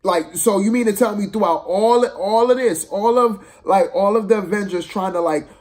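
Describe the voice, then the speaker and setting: A man's voice: high-pitched, normal speed, darkish tone. A man giving a casual, opinionated movie review, exasperated and slangy, repeating phrases for emphasis.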